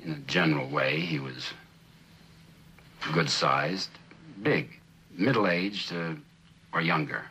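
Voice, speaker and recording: An actor playing a guarded, reluctant middle-aged witness in a 1960s TV courtroom drama, answering carefully and haltingly in a husky voice. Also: male voice, low-pitched, pushed and bright, exaggerated voice.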